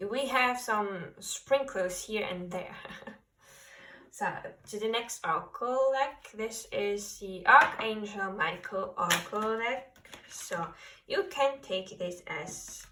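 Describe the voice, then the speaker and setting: A woman who speaks English as a second language gives an unhurried tarot reading, gentle and reassuring. Her tone is bright and clear, and she sounds a little set back from the microphone. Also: medium pitch, distant mic, slight background noise. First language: not English. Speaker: tarot reader. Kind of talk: pick-a-card tarot reading.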